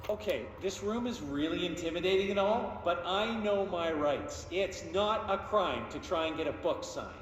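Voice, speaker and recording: A whiny, defensive office clerk in a video game. His high voice rambles with awkward sarcasm. It sounds far from the mic in a heavily reverberant hall. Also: lively intonation, normal speed. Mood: nervous, defensive. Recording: distant, very echoey hall, faint noise.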